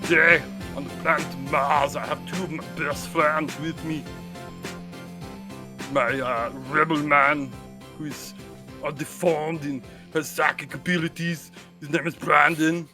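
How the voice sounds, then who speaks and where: A man hosts a casual podcast and brings in his friends with playful jokes. His voice sits a little high. Music plays clearly behind him. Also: fairly even intonation, a little slow. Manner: playful, jokey introductions. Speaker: podcast host.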